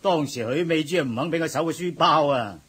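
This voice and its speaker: An actor playing a loud, bossy middle-aged supermarket manager with a husky voice in a radio drama. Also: male voice, fairly high pitch, a little fast. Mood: explaining. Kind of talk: 1980s radio drama acting.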